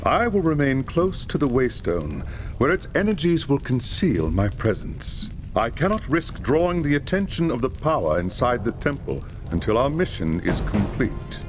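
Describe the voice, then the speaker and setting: A man playing a grave, cautious high elf lore master in a fantasy game, speaking in a solemn, deliberate and formal way. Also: very animated, a little hiss or hum, close to an everyday voice. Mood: grave warning. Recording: music behind the voice.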